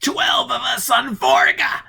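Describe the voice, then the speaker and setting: A man's voice: extremely high pitch, expressive, slow, vocal fry, sharp tone, breathy edge, pitched above the performer's own voice, exaggerated voice. A man reading a story and playing a broken, terrified male spaceman who screams hysterically and desperately. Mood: desperate screaming.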